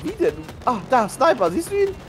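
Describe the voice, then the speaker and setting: An excitable gamer chatting casually during play, silly and hyperactive, often exclaiming. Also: high voice, brisk, music in the background. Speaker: gaming video partner. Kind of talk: excitable casual chat while gaming. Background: video game vehicle and combat noise.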